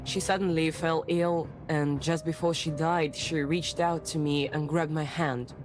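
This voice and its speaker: A woman's voice: low-pitched, fairly even intonation, a little slow, darkish tone. A woman voicing a well-bred, self-assured young lady in a visual novel, speaking in a composed, articulate, formal way. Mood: reflective, wistful. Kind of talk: visual novel voice acting, narrative dialogue. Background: music.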